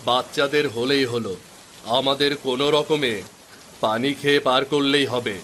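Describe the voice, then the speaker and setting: A man's voice: medium pitch, steady tone, normal speed, a bit bright, a little hiss or hum, lightly acted. A man playing a weary, remorseful poor village husband and father in a cartoon story, speaking plaintively. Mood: resigned, remorseful. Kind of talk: Bengali cartoon story voice acting.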